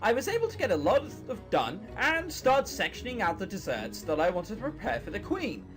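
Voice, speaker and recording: A man's voice: high-pitched, wildly swooping pitch, moderate pace, over music, heavily acted. A man voicing an earnest, guarded young female troll baker in a visual novel. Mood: content.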